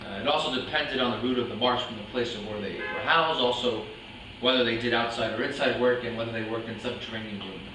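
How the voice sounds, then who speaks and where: A man's voice: medium pitch, fast, natural voice. A man playing an unrepentant former Nazi commandant under questioning in a staged reading, formal and matter-of-fact. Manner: formal, clipped, bureaucratic. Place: indoor room at a live staged reading, distant mic. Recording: very echoey, noisy room, distant mic.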